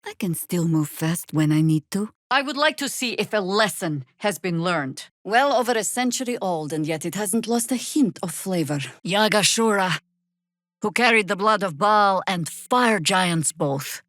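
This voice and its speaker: A woman's voice: medium pitch, expressive, moderate pace, fairly bright tone, no room sound, natural voice. A woman playing an older, seasoned druid warrior in a fantasy video game, wry, dry and commanding in manner. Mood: wry, measured.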